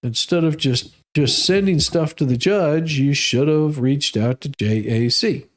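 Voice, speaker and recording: An American man with a slightly higher than average voice reads out formal documents for comic effect. He is folksy and sarcastic, slipping casual asides between the official wording. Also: unhurried. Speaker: host reading out legal documents. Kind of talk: humorous dramatic reading with casual asides.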